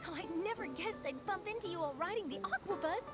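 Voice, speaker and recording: Very high-pitched, eager young reporter. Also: female voice, lively intonation, fast talker. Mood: excited. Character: eager young female reporter, chatty and ambitious. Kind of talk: scripted video game voice acting. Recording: background music over the dialogue. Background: prominent game music.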